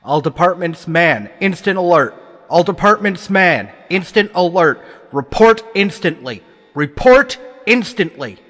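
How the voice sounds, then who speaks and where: Starship captain: urgent barked commands, high-pitched, long reverb, radio sci-fi. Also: male voice, lively intonation, unhurried, fairly bright tone, full character voice. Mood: urgent, commanding. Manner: barking, repeated commands. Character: urgent male starship captain barking an alert. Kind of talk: radio sci-fi drama acting. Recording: long echo over music.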